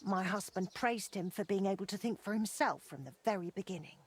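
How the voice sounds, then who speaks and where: A woman's voice: medium pitch, a little fast, breathy edge, close to an everyday voice. An actress playing a motherly older blacksmith's wife in a video game, plainspoken and wistfully reminiscing. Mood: fond reminiscing. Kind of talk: video game dialogue acting.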